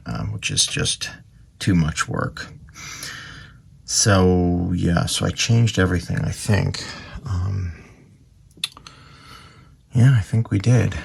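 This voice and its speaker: A man's voice: low voice, creaky, husky. A man giving casual, unscripted commentary as he does a repair, half talking to himself as he works. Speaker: antique radio repairer, video host.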